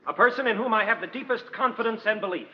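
An actor playing an earnest, idealistic defence lawyer in a 1940s radio drama, speaking in a measured, persuasive way. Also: male voice, high-pitched, close to an everyday voice. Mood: confident, formal. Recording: muffled vintage radio recording, long reverb.

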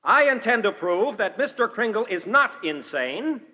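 An actor playing an earnest, idealistic defence lawyer in a 1940s radio drama, speaking in a measured, persuasive way. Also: male voice, high-pitched, sing-song. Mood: confident, formal. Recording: muffled vintage radio recording, long reverb.

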